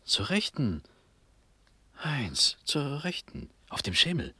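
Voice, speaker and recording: An actor playing a dazed, dreamy young Prussian prince in a verse radio drama, fervent and halting, with breathy speech drifting into whispers. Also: male voice, a little high, huge pitch swings, bright, forceful tone, a little hiss or hum, full character voice. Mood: dazed, groggy. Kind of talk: classical German stage verse radio drama.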